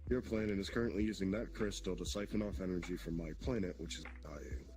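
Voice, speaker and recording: A man's voice: deep voice, fairly even intonation, fast talker, lightly acted. A man voicing a demon king who explains patiently and bluntly, sometimes swearing, in a roleplay. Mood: calm, explaining. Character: demon king, ancient creator of monsters. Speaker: roleplay player. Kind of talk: in-character roleplay dialogue. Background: background music.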